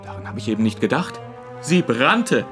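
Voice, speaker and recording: An actor playing a vain widowed stage actor in a radio play, evasive and defensive while keeping up a smooth front. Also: male voice, mid-range voice, very animated, moderate pace, fairly bright tone, soft background music.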